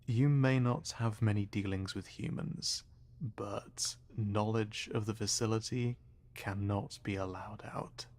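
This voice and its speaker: A slow, coldly polite male scientist interrogator, put on by a man running a tabletop game. The voice sits low and gravelly. It drags each word out with soft, quiet menace. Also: steady tone. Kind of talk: voicing an NPC in a tabletop game.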